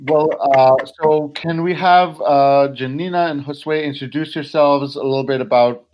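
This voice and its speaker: A man's voice: fairly high pitch, moderate pace, lo-fi sound. Friendly rambling man co-host. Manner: friendly, rambling, laughs between phrases. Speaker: podcast co-host, doctoral candidate. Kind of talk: casual podcast chat.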